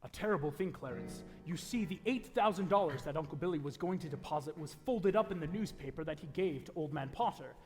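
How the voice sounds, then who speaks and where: An actor playing a heavenly narrator in a 1940s-style radio play, telling the story in a theatrical, folksy way. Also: male voice, a little high, animated, a little fast, hardly any pauses, natural voice. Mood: grave. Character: wise senior heavenly narrator recounting a life to Clarence. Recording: live stage taping in echoey hall, music.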